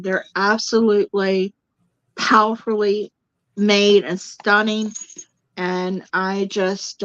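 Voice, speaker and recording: A woman's voice: medium pitch, fairly bright tone, no room sound. A woman guest in a casual spiritual chat, talking animatedly, repeating words for emphasis and speaking straight to the viewers. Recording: dull lo-fi sound, dry.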